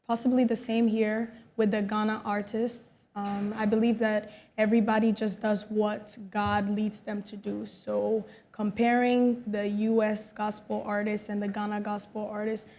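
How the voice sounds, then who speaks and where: A US-born woman, a gospel singer, answering interview questions in a measured, steady way in a studio. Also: medium pitch. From United States. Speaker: gospel musician guest.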